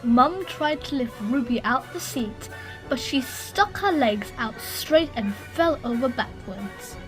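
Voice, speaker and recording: A woman reading a children's story aloud in an expressive narrating style. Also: high voice, takes it slowly. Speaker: storybook reader. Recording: roomy echo, music.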